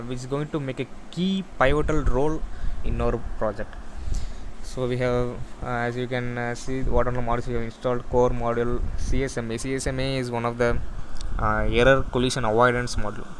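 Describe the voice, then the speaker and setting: A man with a medium-pitched voice presents a technical project in an unscripted walkthrough. He explains haltingly, often restarting and repeating phrases. A faint layer of background noise sits behind his voice. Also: a bit bright, poor audio. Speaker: student or developer presenting a project.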